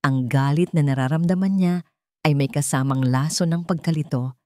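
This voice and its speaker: A woman's voice: low voice, moderate pace, mellow, no echo, clean studio sound. A woman reading a scripted love story as a dramatic narrator.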